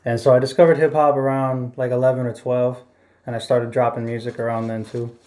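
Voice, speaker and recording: A man's voice: medium pitch, little pitch movement, fairly quick, dark tone. A man from Bremerton, Washington, a rapper and producer guest, telling his story plainly and easygoing. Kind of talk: casual interview talk.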